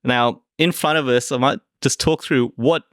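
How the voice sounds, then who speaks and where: A man's voice: mid-range voice, steady tone, normal speed, no room sound, clean studio sound. A middle-aged man with an Australian accent, co-hosting a casual food and wine chat in an explanatory, conversational way. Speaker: podcast co-host.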